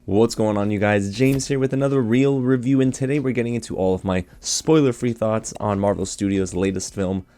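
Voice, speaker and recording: Low, dark-voiced man reviewing a movie. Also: brisk, hardly any pauses, soft background music. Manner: enthusiastic, chatty, addresses viewers directly. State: getting over a cold. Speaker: movie reviewer, channel host. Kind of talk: casual movie review to camera.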